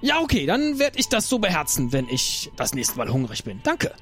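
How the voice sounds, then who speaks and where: A man playing an earnest, hungry pirate crewmate who deliberates aloud in an improvised audio drama. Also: a little high, huge pitch swings, fairly bright tone, faint music behind.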